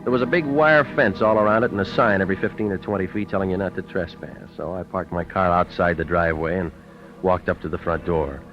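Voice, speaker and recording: An actor playing a tough, wisecracking, cynical private eye, terse and deadpan, in an old-time radio detective drama. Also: male voice, low voice, quick pace, faint music behind, close to an everyday voice. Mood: wry narration. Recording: dull, noisy old radio recording.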